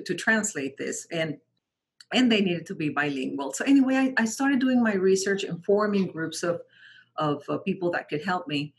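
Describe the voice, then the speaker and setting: Interview guest: woman from Mexico, native Spanish speaker, low and breathy, halting self-corrections. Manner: frequent restarts and repeated words, animated quoting of others. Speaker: guest, translation company founder. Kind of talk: conversational interview storytelling.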